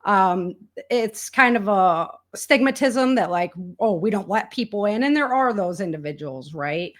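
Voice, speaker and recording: A woman with a mid-pitched voice talks as a guest in a casual interview. Her phrasing is colloquial and emphatic. She readily slips into quoting people as part of her spontaneous style. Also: lively intonation, a little slow. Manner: colloquial and emphatic, acts out quoted remarks. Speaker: interview guest, author and podcaster on survival prepping.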